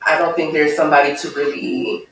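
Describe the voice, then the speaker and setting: A woman guest talking about her life, earnest and thoughtful, pausing between her thoughts. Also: deep voice, flat delivery, a loud crowd. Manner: earnest, thoughtful, measured with pauses. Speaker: interview guest. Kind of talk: reflective personal testimony. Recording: echoey room, mic far off.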